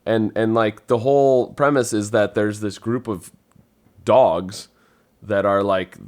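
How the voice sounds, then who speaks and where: Man, slightly low voice, casual panel chat, easygoing, thinks out loud. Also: steady tone, takes it slowly. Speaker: podcast panelist.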